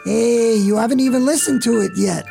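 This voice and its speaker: Man playing a creaky, self-important old shop boss. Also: very high-pitched, moderate pace, music in the background, full character voice. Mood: excited, proud. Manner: commanding, self-important, sarcastic. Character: excitable, proud shop owner, an older male boss. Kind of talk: radio play acting.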